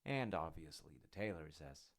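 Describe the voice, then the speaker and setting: A man playing an easygoing older dad who tells his child a folktale in an intimate, musing way, with gently wry, rambling asides. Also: animated, moderate pace, dry recording, studio quality, full character voice. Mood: wry storytelling. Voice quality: low, gravelly. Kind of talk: intimate roleplay storytelling with casual rambling asides.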